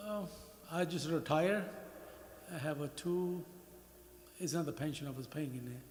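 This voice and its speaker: A man in his fifties in the audience asks a question in halting, hesitant phrases, his voice pitched fairly high. He is picked up from far across a roomy prayer hall, with a long reverb and faint ambient noise. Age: 50s. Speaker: audience member asking a question. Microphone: far from the mic.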